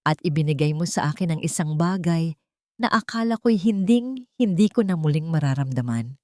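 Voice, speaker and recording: A woman's voice: low-pitched, animated, normal speed, darkish tone, no echo, studio quality. Woman voicing an earnest, tender widowed father. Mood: tender, solemn.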